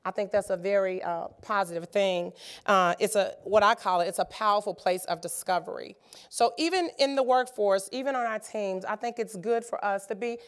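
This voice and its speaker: Slightly raspy woman presenting a workshop. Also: medium pitch, normal speed. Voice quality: many filler ums and uhs. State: slightly raspy from a sinus cold. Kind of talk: conference workshop talk. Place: conference room.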